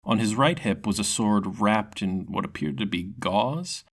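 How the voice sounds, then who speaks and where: A man's voice: fairly low pitch, expressive, measured pace. A man narrating a scripted fantasy story in a dramatic storytelling manner. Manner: dramatic storytelling with character voices.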